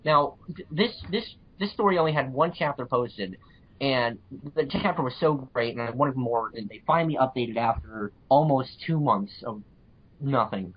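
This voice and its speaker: A man with a husky, medium-pitched voice speaks as a podcast co-host. He recommends things casually and with gushing enthusiasm. The recording is somewhat dull and lo-fi. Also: moderate pace. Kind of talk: casual panel chat, recommending stories. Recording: dull, lo-fi audio.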